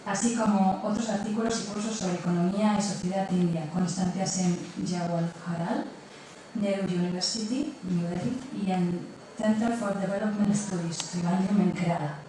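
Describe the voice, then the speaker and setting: A woman's voice: mid-range voice, steady tone, moderate pace, warm tone. A woman from hosting an event, giving a prepared, partly read introduction of a speaker. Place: lecture room with strong echo. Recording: very echoey room, distant mic, noisy.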